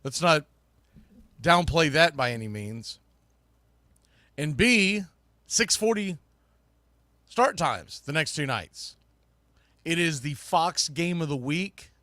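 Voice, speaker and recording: Male sports podcast host, forceful bright tone, slightly high pitch, folksy and excitable delivery. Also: slow, long pauses, dry recording, clean studio sound. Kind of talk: live sports recap and preview show.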